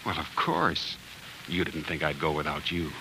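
An American actor playing an earnest, awkward young farmer in love, plain-spoken and halting, in a 1940s radio drama. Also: male voice, a bass voice, expressive. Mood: eager, tender. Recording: hissy old 1940s radio recording.

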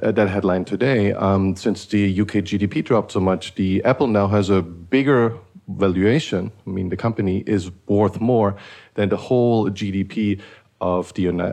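Podcast host, man raised in Eastern Germany, low-pitched voice, musing and rambling delivery. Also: darkish tone. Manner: thoughtful, rambling with many restarts. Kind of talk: casual conversational podcast.

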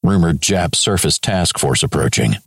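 A man reading as a wartime serviceman writing his diary, clipped and terse, with a gravelly, husky voice. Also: low-pitched, lively intonation, normal speed, dry recording, studio quality, full character voice. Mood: terse, matter-of-fact.

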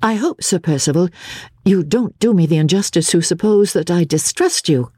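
Woman playing a guarded, proud gentlewoman. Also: on the low side, expressive, breathy edge, no room sound, pitched above the performer's own voice. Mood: quick, uneasy. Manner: brisk, clipped, formal. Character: guarded, proud young English gentlewoman, reluctantly polite. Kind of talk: dramatic audiobook reading.

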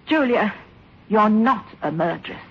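A woman's voice: mid-range voice, expressive, measured pace, slightly rough. An actress playing an anxious, guilt-ridden woman in 1960s radio suspense style, earnest, urgent and imploring. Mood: urgent pleading. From South Africa. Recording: muffled, hissy old radio recording.

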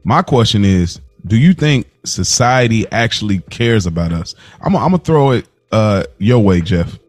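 A man co-hosting a podcast in casual conversation, talking earnestly and a bit haltingly. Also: on the low side, animated, no echo, soft background music. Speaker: podcast co-host.

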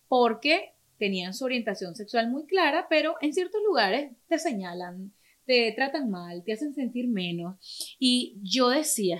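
A Venezuelan woman hosting a relaxed chat, with a high, bright and forceful voice. She talks in a lively, expressive way and laughs easily. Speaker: host. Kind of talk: casual chat.

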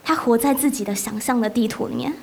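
Woman playing a reflective grown daughter, high voice, quiet monologue, radio play. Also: quick pace, vocal fry. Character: adult daughter reflecting on her mother.

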